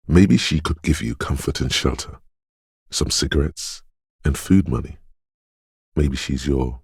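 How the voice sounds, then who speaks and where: A man's voice: dry recording, clean studio sound, exaggerated voice. A man voicing a brooding, eerie inner voice of intuition in a video game, speaking intimately and poetically in a mournful, ominous, dreamlike way. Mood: dreamy, musing. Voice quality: very deep, husky, gravelly whispery rumble. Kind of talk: video game voice acting, literary second-person narration.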